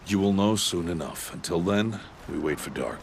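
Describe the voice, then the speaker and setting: An actor playing a stern middle-aged male outcast mentor in a video game, speaking in a deep, grave, measured and terse way. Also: animated, creaky, slight background noise. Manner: solemn and terse. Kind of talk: video game cutscene acting.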